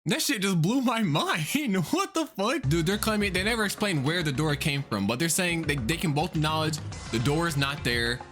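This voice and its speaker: A man hosting a reaction video, excitedly talking through a horror story, swearing and thinking out loud. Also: on the high side, normal speed, soft background music. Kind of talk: casual reaction commentary.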